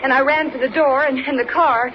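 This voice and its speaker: A woman's voice: high voice, fairly even intonation, fairly quick, lightly acted. An actress playing an anxious, distressed young woman in 1940s radio drama style. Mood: alarmed. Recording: dull, noisy 1940s radio recording.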